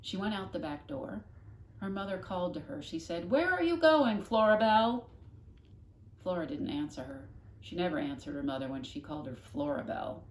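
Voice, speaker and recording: A woman reading a children's novel aloud expressively, with dramatic, deliberate emphasis. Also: low-pitched, steady tone, darkish tone. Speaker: storybook reader, classroom host. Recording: echoey room, faint noise.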